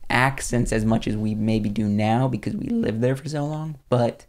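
A young man with a slight Southern accent, co-hosting a casual podcast in a small studio on a boom-arm mic, easygoing and rambling. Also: normal speed, a little mellow. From Pennsylvania, United States. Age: young adult. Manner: easygoing, rambling, restarts thoughts. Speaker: podcast co-host. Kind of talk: casual chat. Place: small studio room indoors.

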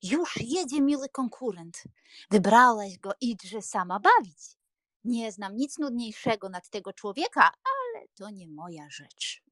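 A woman voicing a choleric, scornful elderly gentlewoman in an audiobook, emphatic and grumbling. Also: fairly high pitch, very animated, no echo. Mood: impatient scorn. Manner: emphatic, grumbling, drawn-out exclamations. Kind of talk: dramatized audiobook reading.

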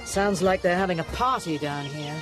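A woman's voice: fairly low pitch, normal speed, over music, close to an everyday voice. An actress playing an inquisitive, dry-witted woman scientist who explains things wryly in a radio drama. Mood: keen, wry.

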